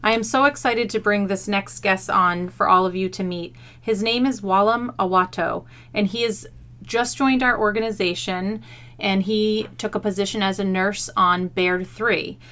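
A woman's voice: medium pitch, steady tone, moderate pace, fairly bright tone. Conversational woman co-hosting a podcast. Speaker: podcast co-host.

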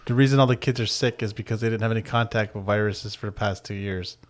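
A man's voice: fairly low pitch, fairly even intonation, fast talker. A man co-hosting a casual comedy chat, laid-back with dry, teasing asides. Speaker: podcast co-host.

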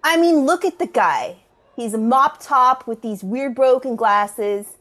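A woman playing an unimpressed slacker student of magic, griping in halting, slangy speech in an improvised comedy sketch. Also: high-pitched, a bit bright. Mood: sardonic, griping.

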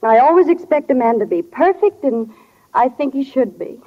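A muffled 1940 radio recording carries a teenage girl in a sentimental drama. Her voice is high, and she speaks with hesitant, tender sincerity. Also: lively intonation, moderate pace. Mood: upset, earnest. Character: earnest, sensitive small-town teenage girl in love. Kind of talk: 1940s radio drama acting. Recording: dull, lo-fi 1940 radio broadcast.

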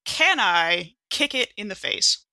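A woman with a bright, forceful voice and plenty of fry plays a tabletop game. She talks casually in a dry-sounding recording, restarting and repeating words as she improvises. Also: medium pitch, big pitch swings, takes it slowly, dry recording. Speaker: player in a tabletop RPG podcast.

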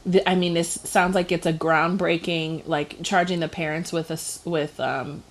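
A woman's voice: on the low side, steady tone, moderate pace, a little hiss or hum. A woman, chatting casually as a podcast co-host, giggly and full of fillers. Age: 30s. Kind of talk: casual chat.

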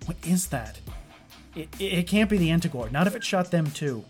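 A man playing a rattled, sarcastic starship chief engineer who mutters haltingly to himself in an audio drama. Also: fairly high pitch, expressive, loud music behind the voice, lightly acted. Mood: alarmed, disbelieving. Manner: halting, self-talking, wry.

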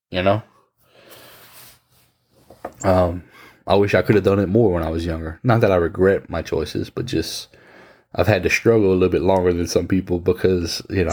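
A man's voice: deep voice, darkish tone, husky. A man in his thirties in casual conversation, giving frank, blunt advice and swearing now and then. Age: 30s. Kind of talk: casual chat.

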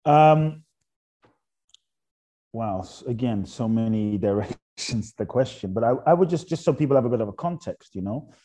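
A middle-aged man from Ghana, a guest author speaking in a thoughtful, halting way about spiritual ideas on a podcast. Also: mid-range voice, animated, dark tone. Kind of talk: reflective podcast conversation.

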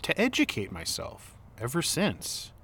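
A man's voice: a little high, wildly swooping pitch, unhurried, bright, forceful tone, husky, exaggerated voice. An actor playing a defensive, exasperated man arguing earnestly in a naturalistic audio drama. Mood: earnest, hesitant.

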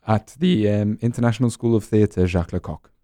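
A man's voice: fairly low pitch, fairly quick, dark tone, dry recording, clean studio sound. A man from Norway, an actor guest, explaining earnestly in an interview. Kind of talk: interview answers.

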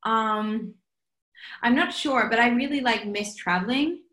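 A woman's voice: on the high side, measured pace, a bit bright, roomy sound. A young woman from Norway with a Norwegian accent, a singer chatting casually in an interview and saying "like" all the time. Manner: chatty, says "like" constantly.

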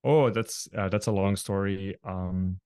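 Belgian male podcast host, Dutch native, low smooth voice, dry close-miked sound; easygoing chat. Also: a little slow, no echo. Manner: relaxed, friendly, many fillers. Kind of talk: casual interview chat.

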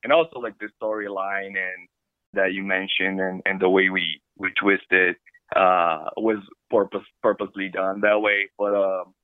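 A man from Brazil with a Brazilian Portuguese accent, a film director being interviewed, talking in a playful, animated way with halting restarts. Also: deep voice, clear, resonant tone, no echo. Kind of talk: casual interview chat. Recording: dry tinny phone-like line.

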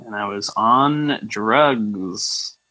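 Sardonic man with a bright, forceful voice. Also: on the low side, extremely slow. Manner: sardonic, riffing. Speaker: podcast co-host. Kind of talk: casual comedic chat.

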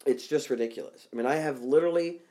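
A man's voice: mid-range voice, quick pace, warm tone. A man co-hosting a podcast in casual chat, talking bluntly and profanely and getting worked up as he goes. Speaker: podcast co-host.

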